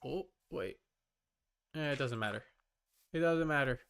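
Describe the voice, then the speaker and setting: A man livestreaming a game in casual chat, rambling and halting as he mutters to himself and answers viewers. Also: medium pitch, a bit bright, clean tone.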